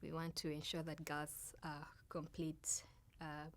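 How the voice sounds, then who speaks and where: A woman with a Kenyan accent explaining her work in an interview, halting and deliberate with pauses. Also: sits low, completely flat delivery. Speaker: astronomer and outreach project leader. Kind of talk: interview talk about own work.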